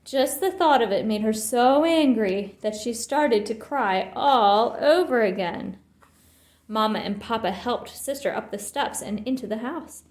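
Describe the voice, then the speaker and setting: A woman reading a children's story aloud in an expressive, theatrical way. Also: fairly high pitch, unhurried. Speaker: storybook reader. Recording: roomy, echoing sound.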